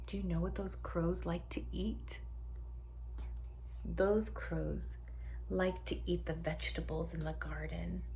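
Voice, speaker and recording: A woman reading a story aloud to young children, expressive and often hushed, putting questions to her listeners. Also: on the low side, gravelly, a little hiss or hum, rough recording.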